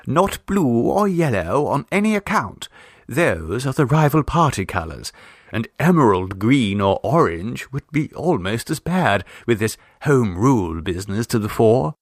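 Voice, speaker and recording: A man voicing a brisk, well-to-do Edwardian wife who is bossy and fussily considerate, in an emphatic posh manner, for a short story reading. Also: mid-range voice, sing-song, no room sound.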